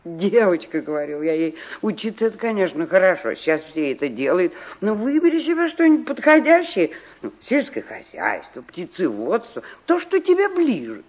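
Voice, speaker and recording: Exasperated village aunt, animated delivery. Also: female voice, lowish voice, normal speed. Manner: complaining, emphatic, rhetorical questions. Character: exasperated village aunt fretting over her stubborn niece. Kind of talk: 1960s radio play acting. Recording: dull vintage radio recording.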